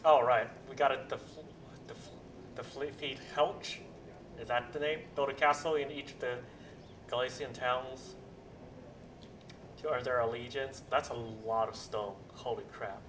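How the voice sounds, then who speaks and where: A man's voice: medium pitch, fairly even intonation, unhurried, a bit bright, a little hiss or hum. A man chatting casually as he streams a game, hesitant and thinking aloud. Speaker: live streamer playing a strategy game. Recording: distant mic with game music underneath. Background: game music and sounds.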